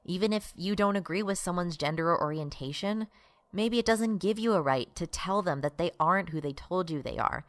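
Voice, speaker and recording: Radio drama role: patient, conciliatory woman played by an actress, notably low voice, dry close sound. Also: fairly quick, no echo, close to an everyday voice. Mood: earnest. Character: calm, reasonable woman, patiently admonishing and conciliatory.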